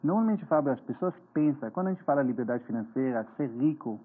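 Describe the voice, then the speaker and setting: A man's voice: medium pitch, lively intonation. A man in his forties from Israel with an Israeli accent, earnestly explaining as a guest in a TV interview. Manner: earnest, explanatory, slightly halting. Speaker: guest, finance author. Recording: tinny phone-like broadcast sound.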